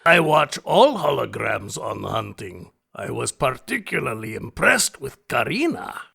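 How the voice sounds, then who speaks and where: A genial, grandiose big-game hunter in an audio drama. His voice is husky and sharply bright, and he speaks slowly with courtly, theatrical emphasis. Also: medium pitch, big pitch swings, exaggerated voice. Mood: gleeful, grandiose. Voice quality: gravelly, husky.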